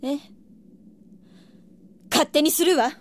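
An imperious succubus in an audio drama. Her voice sits a bit high. She is sultry and taunting, with a cool, jealous pride. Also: slow pace. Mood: cold threat. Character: proud, seductive adult succubus noblewoman, jealous and imperious. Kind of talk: Japanese audio drama acting.

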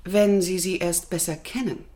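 A woman's voice: on the low side, fairly even intonation, normal speed, dark tone, close to an everyday voice. A woman playing a self-assured, teasing French society lady who mentors and commands, in a German radio play. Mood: playful, confiding.

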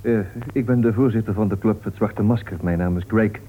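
Actor as a grave, lowish-voiced club chairman. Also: brisk, phone-quality sound, natural voice. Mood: grave, hesitant. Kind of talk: Dutch radio play acting. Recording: thin, noisy old radio recording.

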